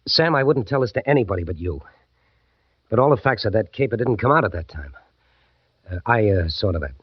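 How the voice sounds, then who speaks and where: Fast-talking, hard-bitten American detective. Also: male voice, lowish voice, lively intonation, slightly rough, no room sound. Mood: uneasy, confiding. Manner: brisk, slangy, matter-of-fact. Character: businesslike, worried male private detective, married, hard-bitten. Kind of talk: old-time radio detective drama acting. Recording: dull vintage radio recording.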